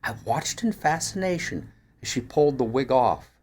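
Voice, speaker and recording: A man reading a first-person mystery story aloud in an expressive storytelling manner, like an audiobook narrator. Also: medium pitch, moderate pace. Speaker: actor reading a short story.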